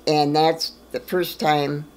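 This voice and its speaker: A woman in her eighties telling family stories in a plain-spoken, unhurried way during a documentary interview at home. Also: low-pitched, level tone, a little mellow. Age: 80s. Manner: plain-spoken, slow storytelling with small laughs. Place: living room, in an armchair.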